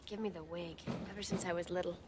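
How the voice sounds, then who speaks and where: A young American actress playing a wry, quippy high-school girl in a TV drama, sarcastic and flip. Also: steady tone, quick pace, close to an everyday voice. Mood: dry sarcasm. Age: young adult. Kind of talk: TV drama acting. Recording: noisy TV show audio.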